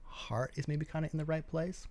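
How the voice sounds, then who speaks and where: A man's voice: fairly high pitch, fairly even intonation, normal speed, darkish tone, dry recording. A man co-hosting a tabletop gaming show, giving casual, opinionated commentary in a blunt, skeptical way.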